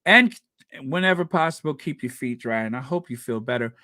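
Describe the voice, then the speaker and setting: A man hosting a casual livestream, chatting with viewers and reading their comments aloud, animated and quick to laugh. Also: mid-range voice, no room sound, clean studio sound. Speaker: livestream host.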